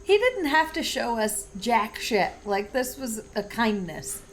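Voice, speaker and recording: A woman's voice: on the high side, big pitch swings, a little slow, slight background noise. A woman chatting casually and informally as a player in a tabletop game. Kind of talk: casual chat.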